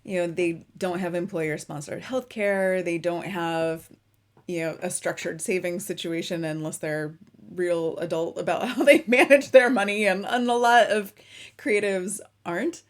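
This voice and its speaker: A woman's voice: on the low side, fairly even intonation, a little slow. A woman hosting a casual interview, chatty and easygoing, asking long rambling questions. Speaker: interview host.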